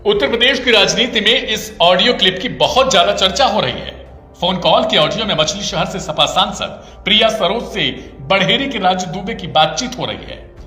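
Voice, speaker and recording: A man's voice: high voice, animated, moderate pace, pushed and bright, roomy sound, long reverb tail, faint music behind. A man presenting scripted news narration as a news presenter.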